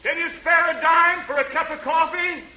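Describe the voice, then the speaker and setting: A man's voice: extremely high pitch, fairly even intonation, normal speed. A man acting a cheeky sailor who calls out jokily in a 1940s radio comedy, on a noisy old recording. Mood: cheeky, hailing. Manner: calling out, jokey.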